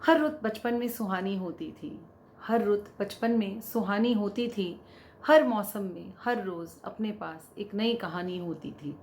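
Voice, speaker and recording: Female spoken-word poet, mid-range voice, unhurried and deliberate, repeating lines for weight. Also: mid-range voice, a little mellow, a little hiss or hum. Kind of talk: slam poetry recitation.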